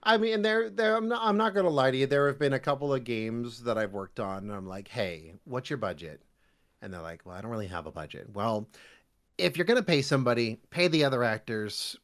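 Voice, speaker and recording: Male podcast guest, voice actor type: mid-pitched with lively swings, jokey, conversational, loose stop-start delivery. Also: fairly quick. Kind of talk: casual interview chat.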